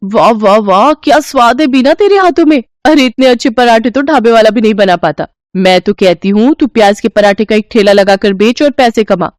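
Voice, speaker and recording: A woman's voice: on the high side, lively intonation, moderate pace, few pauses, a little mellow, no room sound, clean studio sound, pitched above the performer's own voice, lightly acted. A woman voicing an enthusiastic, friendly neighbour woman in a moral story, effusive and emphatic. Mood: delighted. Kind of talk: animated moral-story dialogue.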